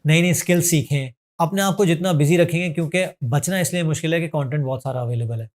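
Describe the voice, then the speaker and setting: A man's voice: a little high, fast talker. Earnest man advising on fitness. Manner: earnest, advising, mixes English phrases. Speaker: gym trainer. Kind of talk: podcast interview answers.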